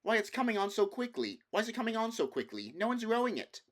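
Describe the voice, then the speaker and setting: A man voicing an excitable young schoolboy in a storybook reading, eager and anxious as he speaks. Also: sits high, fast talker, voice pushed up, full character voice. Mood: urgent surprise. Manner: eager, urgent questioning.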